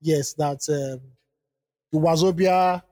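A man who co-hosts a podcast, reading a listener's letter aloud and then chatting about it in quick bursts with frequent restarts. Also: fairly high pitch, a little mellow, dry recording, clean studio sound, big-room sound. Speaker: podcast co-host.

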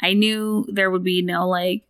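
A woman's voice: lowish voice, level tone, unhurried, dry recording, studio quality. A woman co-hosting a podcast, chatting casually about films in a playful, sweary way. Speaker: film podcast co-host.

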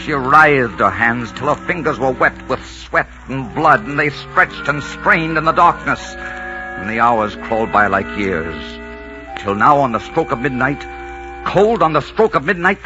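A man's voice: medium pitch, big pitch swings, a little slow. A man playing a solemn, romantic storyteller, reciting ballad verse theatrically in 1940s radio style. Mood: solemn suspense. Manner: theatrical, incantatory. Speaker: narrator. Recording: muffled 1940s radio recording with loud music. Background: music.

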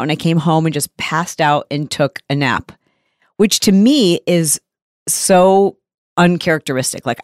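Slightly hoarse, low-voiced woman podcaster. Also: no room sound, studio quality. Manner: open, conversational, emphatic. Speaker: podcast host. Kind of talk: candid solo podcast monologue.

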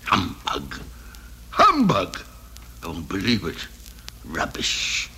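An actor playing a crotchety, blustering old miser with a raspy voice in an old-time radio drama. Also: male voice, deep voice, huge pitch swings, slow, background noise, full character voice. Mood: scornful disbelief. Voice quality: raspy, husky. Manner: blustering, theatrical, exclamatory. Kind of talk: 1930s-40s radio drama acting.

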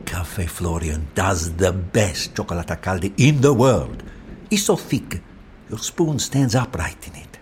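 A man playing a courteous, proud and enigmatic Venetian mask maker in an audio drama, measured, with an Italian accent. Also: low voice, lively intonation, a little hiss or hum. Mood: proud, beguiling. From Venice, Italy.

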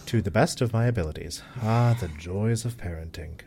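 Audio drama role: protective, formal father at a moon observatory, somewhat deep voice, measured and exact. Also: gravelly, darkish tone, a little hiss or hum. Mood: gentle, attentive. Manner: precise, formal, measured phrasing. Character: formal, doting, protective father running a telescope array.

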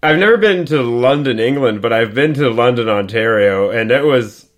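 A man's voice: medium pitch, animated, normal speed. Chatty young man telling a story. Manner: rambling storyteller, stumbles and restarts, laughs often. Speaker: podcast co-host. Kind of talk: casual chat. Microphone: podcast microphone.

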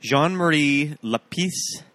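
A man's voice: fairly high pitch, animated, slow, fairly bright tone, dry recording. An American man co-hosting a podcast in a casual, conversational film chat. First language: English. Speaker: podcast co-host.

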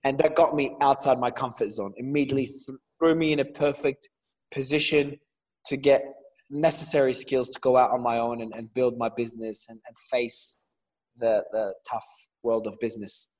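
A Lebanese-born man whose speech carries an Australian accent, answering interview questions. He talks in an earnest storytelling way, at middling pitch, with many fillers. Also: steady tone, moderate pace, darkish tone, rough recording. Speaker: guest entrepreneur.

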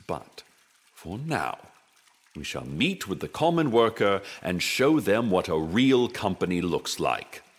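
A calculating company director in a dystopian radio play. The voice is low and husky, taking its time, pompous and imperious. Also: male voice, full character voice. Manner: pompous, deliberate, corporate jargon. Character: imperious, calculating corporate board director, measured and menacing. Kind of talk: dystopian audio drama acting.

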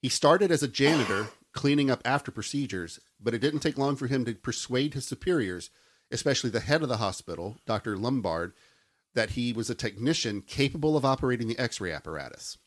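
Steady male podcast storyteller. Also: medium pitch, fairly quick. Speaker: podcast host telling the story. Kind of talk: reading and narrating a true story.

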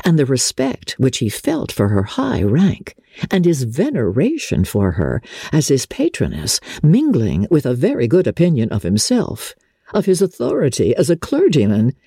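A woman narrating a classic novel as a dramatic reader, in a measured, theatrical storytelling manner. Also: a bass voice, mellow, breathy edge, dry recording, studio quality. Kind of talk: expressive scripted audiobook narration of a classic novel.

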